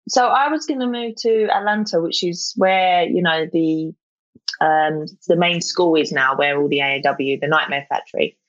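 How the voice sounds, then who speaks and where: A woman's voice: low-pitched, moderate pace, no echo, muffled recording. A young woman with a South East London accent, chatting casually as an interview guest, full of fillers and restarts. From England.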